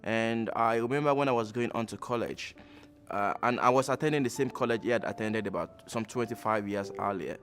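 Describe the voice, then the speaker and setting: A Nigerian man in his thirties, speaking reflectively with frequent pauses in a documentary interview. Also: fairly low pitch, fairly bright tone, soft background music. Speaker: alumnus interviewee.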